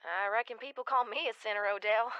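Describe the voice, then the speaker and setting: A woman's voice: fairly high pitch, fast, clear, resonant tone, dry recording. An actress in an audio drama playing a troubled, needy woman on the telephone to an old flame. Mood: wistful. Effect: telephone filter. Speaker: actor in a radio drama. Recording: dull, band-limited phone-call sound.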